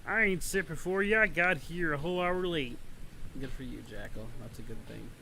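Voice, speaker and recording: A man's voice: on the high side, normal speed, creaky, sharp tone, slight background noise. A man hosting a casual livestream, rambling and teasing his viewers in slangy talk. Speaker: livestream host and content creator. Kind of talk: casual livestream chat, reading and answering viewers. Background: music, rain ambience.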